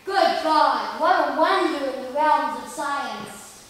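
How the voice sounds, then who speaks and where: A woman's voice: high voice, animated, slow. Doomed scientist played by a schoolgirl, echoey hall. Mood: awed, exultant. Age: child or young teen student. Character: doomed, obsessive male scientist, haunted and grave. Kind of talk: stage radio play acting. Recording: echoey hall from far off, noisy.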